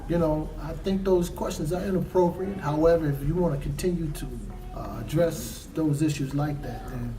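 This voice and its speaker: A man playing an evasive, protective middle-aged father, speaking haltingly and formally in a stage drama. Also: on the high side, recorded from a distance, a crowd in the background, lightly acted. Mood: defensive. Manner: hesitant, halting, formal wording. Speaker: actor in stage play. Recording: noisy live stage recording.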